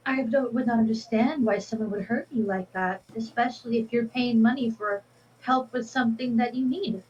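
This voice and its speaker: A woman's voice: on the high side, steady tone, normal speed, a little mellow, slight background noise, close to an everyday voice. A woman voicing a tiny, cheerful winged archaeologist who talks sweetly and sarcastically. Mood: sympathetic. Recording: distant dull lo-fi mic.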